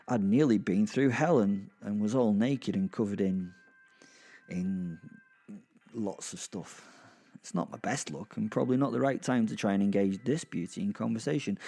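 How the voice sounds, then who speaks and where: Low, gravelly British male narrator. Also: dark tone. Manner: wry, conversational, halting asides. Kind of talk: first-person dark comic audio drama narration.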